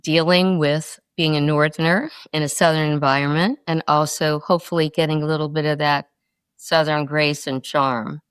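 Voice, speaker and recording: Low, smooth-voiced woman guest. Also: steady tone, normal speed, no room sound. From North Carolina. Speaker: podcast guest. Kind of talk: interview.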